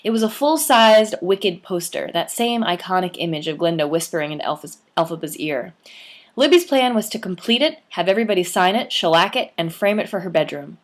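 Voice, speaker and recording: A young woman reads her own memoir aloud, her voice sitting somewhat low for a woman. She tells it with lively, playful emphasis. She reads like a storyteller sharing a personal tale. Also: brisk. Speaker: author.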